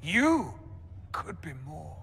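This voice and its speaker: An actor playing a pious, dying chancellor in a fantasy game, speaking haltingly and weakly with strain. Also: male voice, a little high, sing-song, vocal fry, a bit bright, husky, heavily acted. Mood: wondering, frail. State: weak, mortally wounded. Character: dying male chancellor, pious, faltering, awed. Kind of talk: dramatic fantasy game acting. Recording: music behind the voice.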